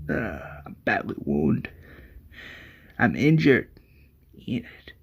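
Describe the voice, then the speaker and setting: Male storyteller as gravely wounded man in an action tale, strained, gasping, slow. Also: medium pitch, a bit bright, exaggerated voice. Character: wounded young man, desperate then resigned, dying.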